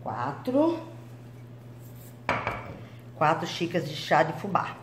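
A woman's voice: deep voice, sing-song, slow, echoey room, noisy background. A woman hosting a home cooking video, chatting and explaining each step as she mixes dough in her kitchen. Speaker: cooking channel host. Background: clinking utensils and bowls.

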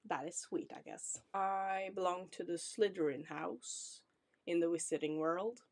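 A woman's voice: fairly low pitch, a little slow, darkish tone. A young Norwegian woman in her twenties with a Norwegian accent, chatting casually to camera about herself, apologetic and reassuring. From Norway. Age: 20s. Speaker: vlogger. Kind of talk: casual self-introduction to camera. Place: small decorated room indoors.

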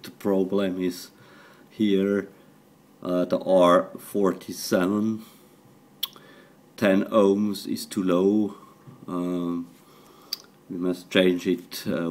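A man with a low voice talks slowly through a hands-on electronics job. He is not a native English speaker and proceeds haltingly, in short phrases, like someone explaining while working at a bench. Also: a little mellow. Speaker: electronics hobbyist demonstrating a radio modification. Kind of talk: hands-on technical tutorial, improvised. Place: indoors at a workbench.

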